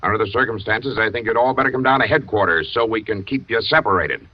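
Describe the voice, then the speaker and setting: An actor playing a blunt police investigator who grills suspects in a curt, probing way, in old-time radio drama acting. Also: male voice, on the low side, fast, close to an everyday voice. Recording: dull old radio recording.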